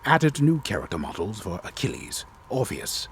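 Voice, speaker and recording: A man voicing a grave, disembodied game storyteller in a solemn, deliberate, theatrical reading. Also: on the low side, sing-song, slight background noise. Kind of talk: dramatic reading of game patch notes.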